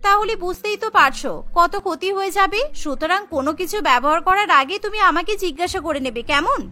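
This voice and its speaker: Woman playing a very high-pitched spoiled young daughter-in-law. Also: expressive, a little fast, bright, forceful tone, full character voice. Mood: haughty. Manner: whiny, haughty, scolding. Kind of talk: cartoon storybook dialogue.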